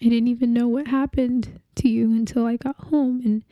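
A young woman playing a grieving partner in a dramatic monologue, with a halting, tearful delivery full of restarts. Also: fairly high pitch, flat delivery, no echo, lo-fi sound, lightly acted.

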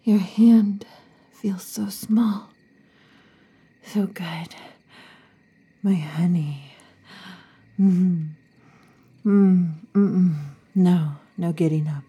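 A woman's voice: lowish voice, extremely slow, long pauses, dark tone, breathy edge, full character voice. A woman voicing a possessive, needy female werewolf lover in a whispered, intimate audio roleplay, murmuring and often whispering.

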